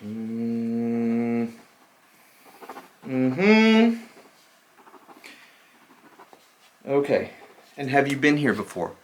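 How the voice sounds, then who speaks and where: A man playing a slightly deranged, scatterbrained doctor in a soft-spoken ASMR roleplay, halting, repetitive and insistent. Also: medium pitch, lively intonation. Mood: muddled, awkward. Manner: halting, repetitive, distracted muttering.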